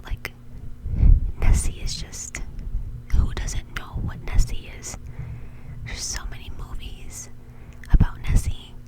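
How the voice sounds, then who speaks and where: A woman whispering in an informal, chatty way, rambling casually as an ASMR host. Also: rough recording.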